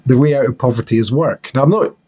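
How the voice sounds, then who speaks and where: A man's voice: mid-range voice, fast, darkish tone, breathy edge. A man with a Scottish accent hosting a political discussion, speaking emphatically and often breaking off mid-sentence to rephrase. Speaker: political podcast host. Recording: dull, muffled lo-fi audio.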